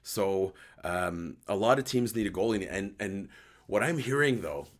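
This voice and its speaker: A man with a hoarse voice hosting a casual live sports chat show, joking and thinking aloud as he answers viewers. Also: lowish voice, expressive, measured pace. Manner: joking, conversational, thinking aloud with repeated words. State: hoarse voice after a night outdoors. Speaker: host of a hockey post-game recap show.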